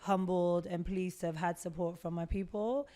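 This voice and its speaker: A woman's voice: lowish voice, normal speed. A woman from the United Kingdom, a skincare entrepreneur, talking animatedly as a guest in a casual interview. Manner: animated and talkative, storytelling.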